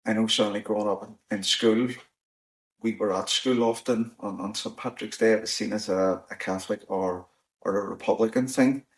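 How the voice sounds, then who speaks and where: A man with a Northern Irish accent hosts an in-person podcast chat. His voice sits low and carries a gravelly rasp. He talks at a measured pace, often restarting and filling gaps with "you know". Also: fairly even intonation. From Northern Ireland. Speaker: podcast host. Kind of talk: casual discussion. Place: in-person recording on the Shankill, Belfast.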